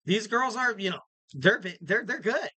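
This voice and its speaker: Upbeat, bright-voiced podcast host man. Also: high voice, animated, moderate pace, no room sound. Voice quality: slightly raspy. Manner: rambling, filler-heavy, upbeat promo talk. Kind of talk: casual solo wrestling preview.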